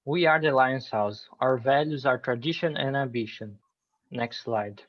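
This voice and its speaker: A man's voice: mid-range voice, a little slow. Man from Brazil reciting over muffled audio. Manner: formal, recited. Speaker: student presenter. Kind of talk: reading a scripted presentation. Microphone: video call. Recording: dull lo-fi call audio.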